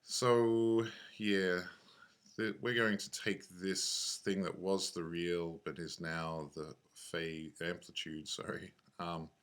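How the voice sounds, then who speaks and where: Low, flat-voiced man thinking aloud. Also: clean tone. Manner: halting, thinking aloud, self-correcting. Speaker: software tutorial instructor. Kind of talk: unscripted screencast tutorial narration.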